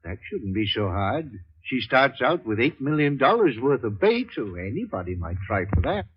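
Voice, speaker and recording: An actor playing an older boozy ex-doctor in a 1940s radio drama, florid, declamatory and teasing, with a husky voice. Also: male voice, medium pitch, big pitch swings, normal speed. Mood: wry, teasing. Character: theatrical older boozy ex-doctor, florid, loyal male friend. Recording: dull 1940s radio recording.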